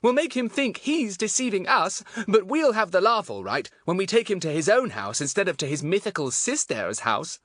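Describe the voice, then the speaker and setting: A man voicing an eager, confident schoolboy with a bossy, excited manner in a storybook. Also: high voice, normal speed, few pauses, no echo, pitched above the performer's own voice, full character voice. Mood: amused, confident.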